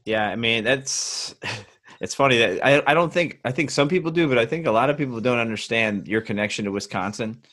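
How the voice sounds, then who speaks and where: A man's voice: mid-range voice, a little fast, fairly bright tone. A man hosting a casual interview over a video call, joking loosely and restarting his sentences often. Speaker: podcast host.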